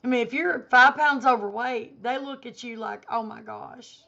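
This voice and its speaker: A woman vlogger giving an indignant opinion rant to camera, hoarse because she has a cold and is losing her voice. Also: fairly high pitch, normal speed, pushed and bright, lo-fi sound. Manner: indignant, emphatic.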